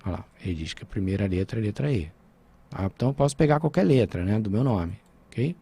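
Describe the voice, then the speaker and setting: A man's voice: lowish voice, animated, fast talker, gravelly, warm tone, breathy edge. A man with a Brazilian Portuguese accent teaching a programming lesson over a screen recording, talking informally and checking in with his students.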